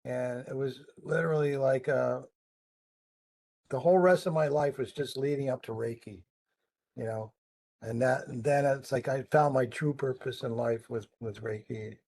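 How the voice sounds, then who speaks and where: A man hosts a relaxed interview, talking in long, rambling runs. His voice sits at a middle pitch, and he fills his pauses with "um" as he works toward his questions. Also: gravelly. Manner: chatty, rambling, many ums. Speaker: podcast host. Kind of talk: casual interview conversation.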